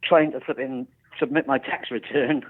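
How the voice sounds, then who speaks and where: A Welsh man with a slight northern English twang calling in to a radio show, halting and exasperated, full of fillers. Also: mid-range voice, fairly even intonation, slightly rough. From Wales. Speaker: caller. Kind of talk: phone-in chat. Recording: tinny phone line.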